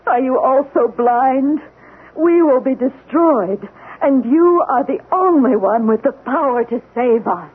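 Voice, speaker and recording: Desperate scientist, muffled old radio. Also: female voice, high-pitched, expressive, measured pace, husky, full character voice. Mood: bitter, accusing. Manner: urgent, accusing. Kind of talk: 1970s radio drama acting.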